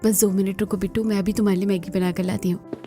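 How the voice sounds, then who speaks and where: Female cartoon household role acted by a woman: expressive, dramatic dialogue, medium pitch, soft music underneath. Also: quick pace, lightly acted. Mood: pleased. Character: women of a cartoon household, scheming young daughter-in-law and exasperated elders.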